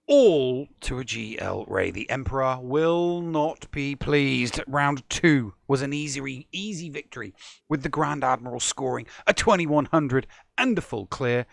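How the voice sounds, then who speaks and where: A man's voice: medium pitch, creaky, dry recording, studio quality. A man co-hosting a gaming stats show, recapping match results like a sportscaster. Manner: sportscaster-style recap with dramatic lines. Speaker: co-host. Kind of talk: casual gaming show commentary.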